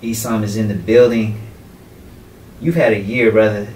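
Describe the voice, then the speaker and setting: A man hosts a radio show, slightly low-voiced and easygoing, nudging his guest along with short affirmations. He is heard from some distance in a roomy studio, with a faint hiss. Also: fairly even intonation, moderate pace, a little mellow. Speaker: radio host. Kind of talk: casual radio interview. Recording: roomy, distant camera audio with faint noise.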